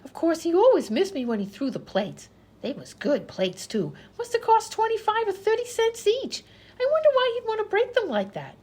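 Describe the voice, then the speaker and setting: A woman's voice: very high-pitched, wildly swooping pitch, moderate pace, a little mellow, heavily acted. A woman playing a dim-witted, chattering vaudeville patient who rambles in folksy, ungrammatical speech in a radio comedy. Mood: chatty, oblivious. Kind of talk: old-time radio comedy acting.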